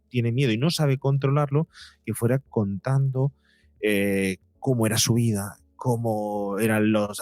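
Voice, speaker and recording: A man's voice: lowish voice, no echo. Man from explaining, dry close sound. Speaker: psychologist and podcaster, interview guest. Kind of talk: explanatory interview talk. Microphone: close podcast mic, seated at a table.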